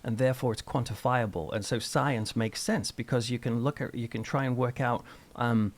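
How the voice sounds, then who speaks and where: A man's voice: mid-range voice, steady tone, moderate pace. A middle-aged man speaking as an interview guest, explaining ideas in a thoughtful, discursive, conversational way. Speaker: interview guest, church minister and author.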